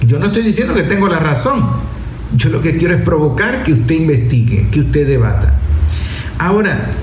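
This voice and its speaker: A man's voice: a little high, warm tone. A man giving didactic, emphatic opinion commentary as a host, addressing his audience directly. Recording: roomy echo, faint ambient noise.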